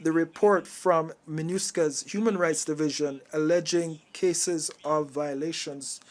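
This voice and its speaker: Higher-pitched man interpreting a formal speech. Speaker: simultaneous interpreter.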